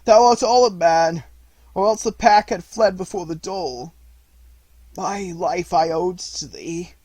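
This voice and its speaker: A man reading aloud, voicing a dying old male wolf who is proud and weary and speaks in gasping, halting, coughing phrases. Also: a little high, darkish tone, husky, dry recording, voice pushed up.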